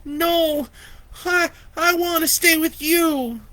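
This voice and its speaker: A man voicing a timid, frightened little girl in a storybook, halting and stammering weakly. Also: extremely high pitch, steady tone, pitched above the performer's own voice, full character voice. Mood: scared.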